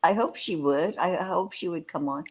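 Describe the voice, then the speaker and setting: A woman making soft, drawn-out remarks in a casual chat, heard from a distance. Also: fairly low pitch.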